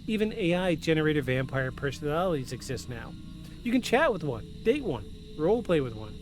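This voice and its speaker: Male podcast host, fairly high voice, effusive, mixes scripted narration and casual asides. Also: sing-song, a little fast. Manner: effusive, repeats himself for emphasis. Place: at home. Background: music.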